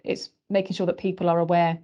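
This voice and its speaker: A woman speaks quickly on a roundtable. Her voice sits a little low for a woman, and she tells her anecdote briskly. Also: level tone, warm tone. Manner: storytelling, brisk. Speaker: roundtable panelist. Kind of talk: casual panel discussion.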